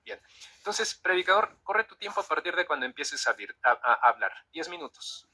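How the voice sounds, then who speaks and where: A man's voice: fairly high pitch, sing-song, moderate pace, pushed and bright, breathy edge. A man hosting and moderating a live debate, giving instructions to a speaker and the audience. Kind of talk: spoken moderation, addressing preacher and audience. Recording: live internet stream.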